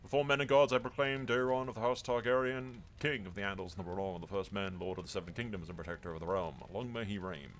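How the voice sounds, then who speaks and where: A man as a solemn fantasy coronation officiant, low-voiced. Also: fast, hardly any pauses, a little hiss or hum, lightly acted. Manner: formal, proclamatory. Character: solemn ceremonial officiant proclaiming a medieval fantasy king. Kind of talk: game roleplay proclamation.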